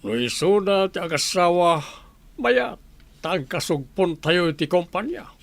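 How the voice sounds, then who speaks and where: A man's voice: high voice, animated, measured pace, gravelly, heavily acted. A man acting a calculating, wealthy middle-aged father in a radio drama, with an Ilocano Filipino accent. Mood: scheming.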